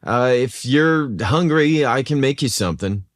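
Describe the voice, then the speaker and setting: A man's voice: medium pitch, animated, a little slow, no echo, clean studio sound, pitched above the performer's own voice, natural voice. A man voicing a friendly, confident young male diner cook in an audio story, firm but casual. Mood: warm, inviting. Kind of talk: audio story character dialogue.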